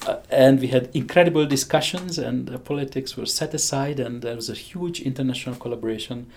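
Gravelly Hungarian-accented man in his sixties. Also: medium pitch, fairly quick, darkish tone, a little hiss or hum. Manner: enthusiastic, chuckles often, frequent restarts. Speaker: physicist interviewee. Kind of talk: conversational interview.

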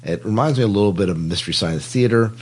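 A man's voice: on the low side, quick pace, breathy edge. A man co-hosting a podcast in a casual, chatty style. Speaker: podcast co-host.

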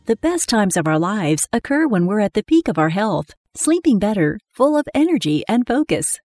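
Female commercial announcer, animated intonation, dry studio sound, scripted radio read. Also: medium pitch, no echo. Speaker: advertisement announcer.

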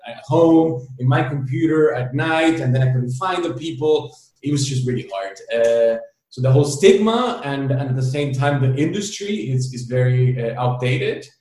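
A man's voice: medium pitch, animated, darkish tone. A man in his thirties, originally from El Salvador, speaking candidly as an interview guest, with many fillers and restarts. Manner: candid, fast-paced, hesitant restarts and fillers. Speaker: founder, guest. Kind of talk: casual interview talk. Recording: very echoey room.

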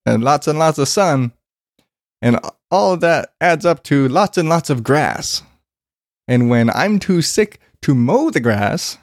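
A man's voice: fairly high pitch, darkish tone, dry recording. Congested man ranting in a dry room. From Arizona, US. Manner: profane, rambling, self-deprecating. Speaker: vlogger. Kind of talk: casual rant.

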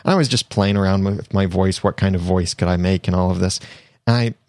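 A man's voice: deep voice, steady tone, a little fast, darkish tone, no echo. A young man hosting his own podcast, chatty and upbeat, talking through tips while getting over a cold. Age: young adult. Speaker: podcast host. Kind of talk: solo podcast tips monologue.

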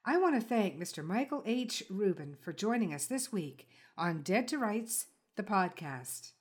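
A woman's voice: medium pitch, big pitch swings, a little slow, breathy edge. Chatty woman hosting a podcast interview. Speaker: podcast host. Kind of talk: conversational author interview.

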